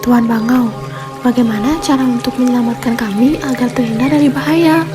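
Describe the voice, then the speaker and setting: A woman's voice: a little high, steady tone, fairly quick, music in the background, lightly acted. A woman voicing a trusting fish in a fable, earnest and deferential as she pleads for guidance. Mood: anxious, pleading.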